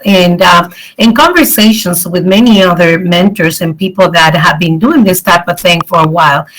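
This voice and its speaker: A middle-aged woman from the Dominican Republic gives an earnest, warm talk as guest speaker at an online event, with many pauses and ums. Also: lowish voice, poor audio.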